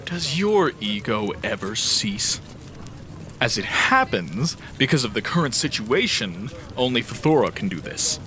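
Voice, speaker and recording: A man's voice: mid-range voice, very animated, a little slow, pushed and bright, a little hiss or hum. A man playing a stern, righteous warden in a fantasy audio drama, speaking in a solemn, formal, commanding way. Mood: reproachful. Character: stern, righteous male warden, loyal to his god.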